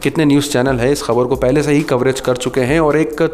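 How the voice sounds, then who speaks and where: A man with an Indian accent hosting an explanatory talk to viewers, speaking earnestly in measured phrases. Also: mid-range voice, steady tone, a little mellow. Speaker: video host explaining and commenting. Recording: clearly noisy background ambience.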